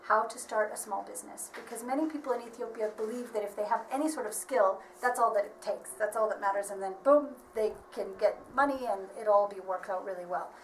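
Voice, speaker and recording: A woman's voice: mid-range voice, a little fast, hardly any pauses. A woman working as a marketing advisor, explaining things earnestly and steadily in an interview, with frequent ums and restarts. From United States. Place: workshop premises. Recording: echoey room, voice from far off, faint background noise.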